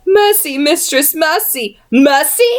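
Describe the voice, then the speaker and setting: A woman voicing a small, menacing male goblin who sings his threats in a slow, gloating way. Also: on the high side, big pitch swings. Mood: pleading. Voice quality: sing-song, gloating.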